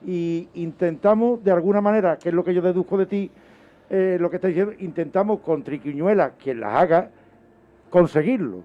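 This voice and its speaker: A man's voice: high-pitched, normal speed, narrow, tinny audio. A middle-aged man from Spain with a Spanish accent, hosting a casual radio discussion, chipping in and rephrasing others' points. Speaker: radio host.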